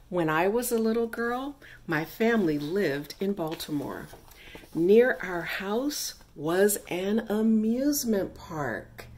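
A middle-aged woman reading her own children's picture book aloud, with deliberate, expressive phrasing for young listeners. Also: fairly low pitch. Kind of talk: read-aloud children's story narration.

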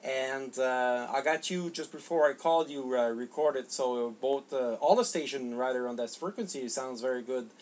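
A middle-aged man from Canada talking as an amateur radio operator in a halting, polite way. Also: mid-range voice, darkish tone. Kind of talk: unscripted ham radio exchange. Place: small home radio room.

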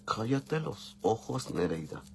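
A man's voice: on the low side, big pitch swings, slow pace, slight background noise. A man in his forties with a Mexican accent and a cold, chatting casually and swearing freely as he greets viewers while doing makeup at home on a phone camera. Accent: Mexican Spanish. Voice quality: gravelly. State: has a cold. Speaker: makeup vlogger. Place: indoor home makeup room.

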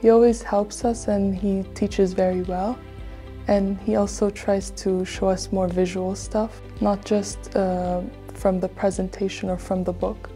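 A woman's voice: mid-range voice, little pitch movement, unhurried, warm tone, soft background music. A teenage girl, born in Hungary, giving an earnest, chatty self-introduction as a student on a lapel mic in a studio. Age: teens. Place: studio set with flags.

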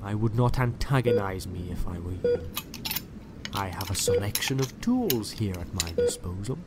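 Ancient, coldly polite villain, low-voiced. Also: male voice, big pitch swings, very noisy. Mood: cold, menacing. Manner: measured, condescending, coldly courteous. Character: ancient, sinister male scientist emperor, coldly polite villain. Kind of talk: audio drama acting.

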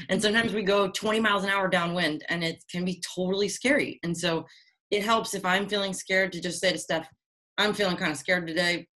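Young adult woman, slightly low voice, casual and encouraging, video-call sound. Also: sharp tone. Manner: upbeat, chatty, encouraging. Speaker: Olympic sailor answering youth questions. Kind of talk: casual online Q&A.

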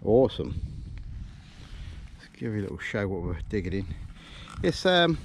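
A man's voice: on the low side, very animated, normal speed, creaky. Matey man, noisy windy recording. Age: middle-aged. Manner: informal, colloquial, matey. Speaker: metal detecting vlogger. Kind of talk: casual vlog chat and commentary. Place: open field outdoors. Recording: windy outdoor noise on action camera.

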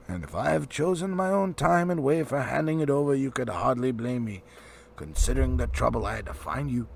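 A man's voice: medium pitch, vocal fry, a little mellow. A man voicing a stern, testy old male wizard from a storybook, speaking with grim emphasis and authority. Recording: faint background noise.

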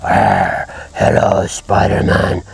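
A man's voice: slow, slight background noise, lightly acted. A man voicing a huge, angry, simple-minded brute who roars and talks in broken grammar. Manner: broken grammar, gruff roaring. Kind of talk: toy play voice acting.

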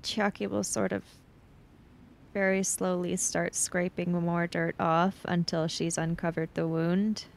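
Woman narrating a roleplay scene, slow and halting, lots of vocal fry. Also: lowish voice, little pitch movement, slight background noise. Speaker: tabletop roleplay player. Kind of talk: improvised third-person narration in a roleplay game.

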